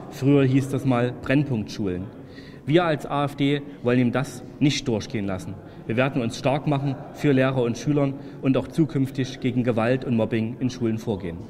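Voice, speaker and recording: A man in his forties talks at a middle pitch, concerned and firm in a prepared statement. Long reverb from a large room surrounds him. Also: darkish tone. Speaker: state parliament member. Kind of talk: scripted political statement to camera. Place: indoors before a large window.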